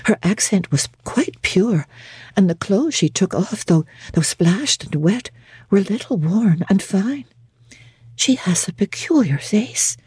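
Woman narrator as a gentle young lady, soft-spoken, genteel, compassionate, classic novel reading. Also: mid-range voice, lively intonation, slow pace, husky, no echo, studio quality, heavily acted. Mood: gentle musing. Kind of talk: dramatic audiobook character reading.

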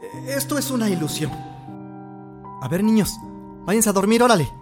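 A man's voice: high voice, expressive, moderate pace, faint music behind. An actor playing a grieving widowed father from rural Mexico in an audio drama, pleading and hesitant, with a Mexican accent. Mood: weary, unsettled. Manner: pleading, hesitant, repeating himself.

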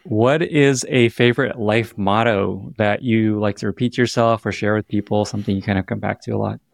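A man's voice: fairly low pitch, steady tone, brisk, vocal fry, no room sound, clean studio sound. A man hosting an interview podcast, upbeat and enthusiastic as he asks questions and wraps up. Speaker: podcast host.

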